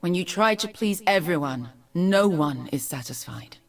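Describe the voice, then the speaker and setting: A composed regal noblewoman from a video game, formal n measured, sounds a bit distant with music under it. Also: on the low side, expressive. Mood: cool, measured. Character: poised, regal noblewoman, cool and measured counselor. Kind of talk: video game character voice lines.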